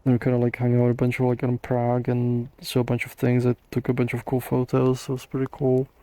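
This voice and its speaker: A man's voice: medium pitch, completely flat delivery, fast talker, mellow, no echo. A tired young man hosting a casual livestream Q&A, rambling with lots of fillers and restarts. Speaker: host answering viewer questions.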